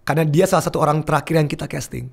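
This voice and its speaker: A young man chatting casually as a podcast guest, telling an animated story and mixing English phrases into his speech. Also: on the high side, racing, gravelly. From Indonesia.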